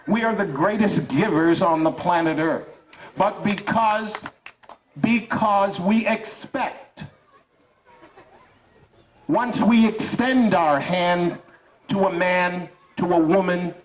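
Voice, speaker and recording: Man, radio talk host, rousing public speech, slow deliberate pace, fairly high voice, repetitive emphatic build-ups, dull recording from some distance. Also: a little hiss or hum.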